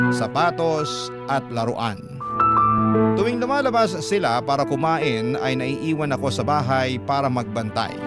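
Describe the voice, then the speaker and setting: A man with a mid-pitched voice reads a scripted, dramatic life story as a radio storyteller. Very prominent music plays behind him throughout. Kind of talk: scripted dramatic narration of a listener's letter. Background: prominent background music.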